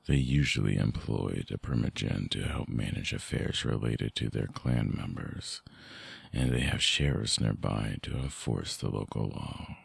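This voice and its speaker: Guy doing a super low husky vampire ex, slow and halting, intimate asmr roleplay, seductive but vulnerable. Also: no room sound, full character voice. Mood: brooding, explanatory. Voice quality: very deep, gravelly, husky. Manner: slow, halting, softly explanatory.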